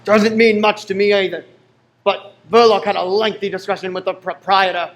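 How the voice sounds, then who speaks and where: Man playing high-voiced police superior. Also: little pitch movement, normal speed. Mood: businesslike. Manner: businesslike, matter-of-fact. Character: brisk, businesslike senior police detective. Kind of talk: radio play acting.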